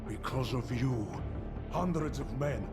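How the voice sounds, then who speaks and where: An actor playing a cold, fatalistic terrorist leader in a video game, speaking in a measured, formal, ominous way. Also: male voice, mid-range voice, natural voice. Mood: cold threat. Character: menacing male terrorist leader, cold, fatalistic, solemnly grandiose. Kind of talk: cinematic video game villain acting. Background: loud music.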